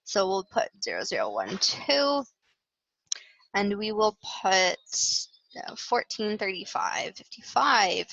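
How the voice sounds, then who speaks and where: A woman's voice: mid-range voice, sing-song, slow pace, creaky, a bit bright. A woman with a Canadian accent presenting a webinar product demonstration in an informal, explanatory way, with frequent hesitations. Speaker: client success manager presenting product demo. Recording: roomy, slightly dull webinar audio.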